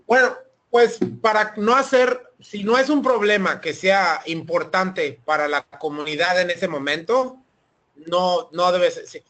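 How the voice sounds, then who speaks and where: High-voiced man explaining, many restarts. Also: a little slow, a bit bright, a little hiss or hum. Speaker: webinar presenter. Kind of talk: online seminar Q&A.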